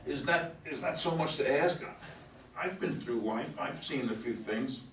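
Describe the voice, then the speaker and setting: A man playing a delirious astronaut in an amateur play reading, halting and repeating himself in a self-pitying, nagging grievance. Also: medium pitch, a bit bright. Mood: wounded, rambling. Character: rambling, aggrieved astronaut low on oxygen, nagging like a relative. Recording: echoey room, distant mic, faint noise.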